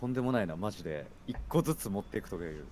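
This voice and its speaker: A man's voice: low voice, expressive, rapid-fire, a little mellow, slight background noise. A man playing a video game with a friend, chatting casually with blunt, teasing retorts. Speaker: guest game streamer. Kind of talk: casual co-op gameplay chat.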